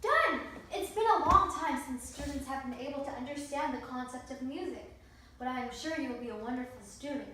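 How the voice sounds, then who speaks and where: A woman's voice: high voice, animated, brisk, pushed and bright. A woman acting an elderly, passionate piano virtuoso and teacher in readers theatre, with an effusive, theatrical manner. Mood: excited. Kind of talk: amateur readers theatre performance. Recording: echoey room, distant mic, faint noise.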